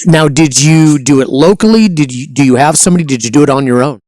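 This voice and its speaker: A man's voice: fairly high pitch, fast, a little mellow, no echo, studio quality. A man hosting a casual interview show, upbeat and hearty, hyping up his guest. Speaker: show host.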